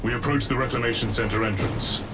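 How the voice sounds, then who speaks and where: An actor playing a grave, disciplined space-marine soldier in a video game, terse and formal. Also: male voice, mid-range voice, steady tone, fast, heavy background noise, close to an everyday voice. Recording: distant voice under loud music, battle.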